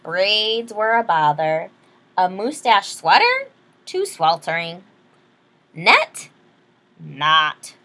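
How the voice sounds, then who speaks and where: A woman reading a children's picture book aloud expressively, pausing to explain things to young listeners. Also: fairly low pitch, big pitch swings, pushed and bright, a little hiss or hum. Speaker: read-aloud storyteller.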